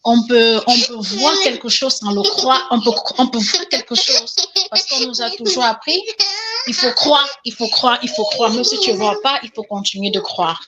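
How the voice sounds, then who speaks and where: A woman's voice: fairly high pitch, lively intonation, moderate pace, very noisy. A woman from Cameroon, calling in to a spiritual talk show to give an emotional testimony, often repeating herself. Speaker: caller giving testimony.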